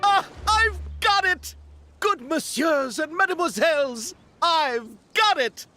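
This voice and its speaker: A man playing a theatrical, courteous film director in a video game, speaking in a florid, expressive manner. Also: very high voice, takes it slowly, soft background music, heavily acted. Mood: inspired.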